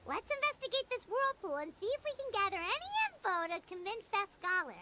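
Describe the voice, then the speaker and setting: Tiny floating anime game sidekick: extremely high, squeaky, excitable and animated, over heavy game noise. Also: female voice, a little fast. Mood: curious, urgent. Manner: squeaky, speaks of self in third person. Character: small floating childlike female fairy companion, chirpy and excitable.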